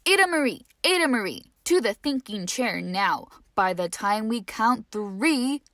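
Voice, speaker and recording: Storybook figure: a woman doing exasperated mom and dad, stern and loud, dry close sound. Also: on the high side, lively intonation, measured pace, no room sound. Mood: scolding.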